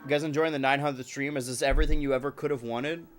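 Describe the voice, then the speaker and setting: A man's voice: mid-range voice, steady tone, quick pace, soft background music. A man live-streaming from home with a stuffed-up nose, chatting and joking with his viewers and his cats. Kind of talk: casual live-stream chat.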